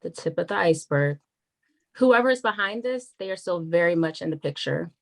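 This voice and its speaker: A woman playing a wary, frank government attorney in a naturalistic script read, measured and confiding. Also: lowish voice, lively intonation, creaky. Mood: guarded, confiding.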